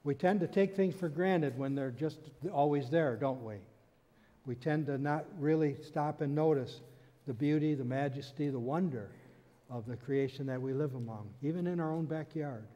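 A man's voice: fairly high pitch, normal speed, mellow. An older man preaching a sermon from a church pulpit, telling a story and explaining scripture in an earnest manner. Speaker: pastor. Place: church sanctuary stage, indoors. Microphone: stand mic at pulpit. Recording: long hall reverb.

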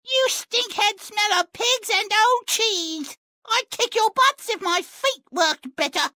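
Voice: very high voice, slow pace, sharp tone, dry recording, full character voice. An actor voicing a bratty, mischievous wooden puppet boy for a cartoonish video game, petulant and theatrical. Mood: bratty, defiant. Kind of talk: exaggerated cartoon game voice acting.

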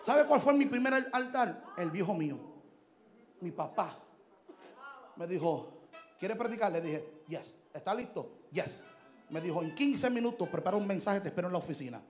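A man in his thirties preaching a fiery sermon on a church stage into a handheld mic, shouting and mixing Spanish with English. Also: sits high, lively intonation, normal speed, soft background music. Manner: shouting, emphatic, switching between Spanish and English. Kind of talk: Pentecostal sermon. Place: large church stage indoors. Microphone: handheld microphone.

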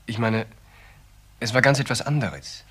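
An actor playing an earnest, defensive young ex-convict in a crime radio drama, explaining himself haltingly. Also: male voice, medium pitch, a bit bright, slightly rough. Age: young adult. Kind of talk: naturalistic German crime radio drama acting.

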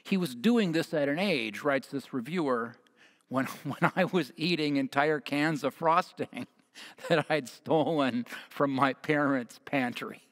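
An American man in his fifties preaching a sermon as a pastor, speaking in measured short phrases, partly reading from notes. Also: on the high side, fairly bright tone. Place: church sanctuary at a lectern. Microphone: headset mic.

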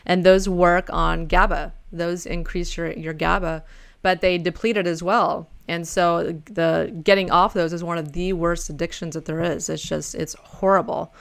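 A woman in her forties hosting a podcast over a video call, friendly and casual, laughing easily and filling pauses with um and uh. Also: lowish voice, fairly even intonation, gravelly. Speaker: podcast host. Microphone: close condenser mic.

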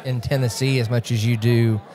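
A man hosting a podcast interview with a friendly, encouraging manner. His voice sits at a middle pitch, and he often backs up and restarts his questions as he chats on a farm convention floor. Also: flat delivery, fairly quick, crowd noise. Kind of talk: casual on-site interview.

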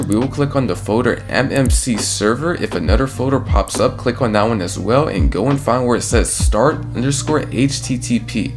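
A young adult man giving scripted, step-by-step tutorial narration in an instructional, reassuring way. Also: lowish voice. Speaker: tutorial host.

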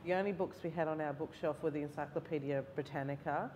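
A woman's voice: low voice, fairly even intonation, fast, darkish tone, a little hiss or hum, rough recording. A woman in her forties with an Australian accent, a writing lecturer telling candid stories with self-deprecating humour on a festival panel. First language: Mauritian Creole or Italian. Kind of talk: panel discussion. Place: large hall, seated on stage.

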